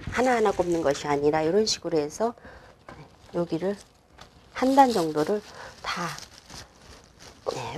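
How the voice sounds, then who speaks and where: 한 중년 여성 꽃꽂이 강사가 실내 스튜디오에서 작업을 하며 설명하고, 말끝에서 자주 망설이며 혼잣말처럼 속삭이듯 말해요. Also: female voice, low voice, warm tone, slight background noise. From Korea. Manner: unhurried, talks while working, many fillers and half-whispered asides. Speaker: flower arrangement instructor. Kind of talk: demonstration lesson while working. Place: indoor studio with a flower table.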